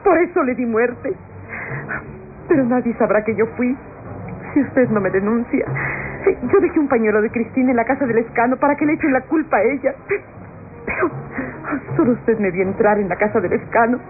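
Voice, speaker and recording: An actress playing a scheming young niece who pleads in a tremulous, melodramatic radio soap manner. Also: female voice, a little high, moderate pace, long pauses, slight background noise, lightly acted. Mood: fearful, tearful confession. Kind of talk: classic Latin American radio soap acting. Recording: tinny old radio recording with music.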